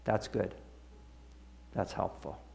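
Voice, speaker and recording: A man's voice: slow, darkish tone. A man speaking as a pastor in a conversational sermon, checking in with his listeners. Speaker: pastor leading a church service.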